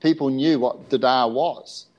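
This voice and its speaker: A man's voice: medium pitch, unhurried, a little mellow, highs cut off. A man hosting a casual interview, frank, swearing casually and laughing often.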